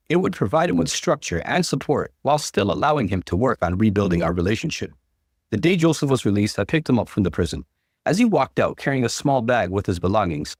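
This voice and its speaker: A man reading an online story aloud as the channel's narrator, in an expressive storytelling manner. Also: medium pitch, no echo, clean studio sound.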